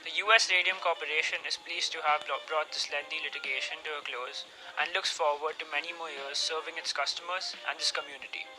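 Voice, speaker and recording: A young man acting in a radio drama as a smooth, formal company president reading a press statement. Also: a little high, steady tone, fairly quick, pushed and bright, faint music behind, low-quality recording. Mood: formal, guarded. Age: teenager.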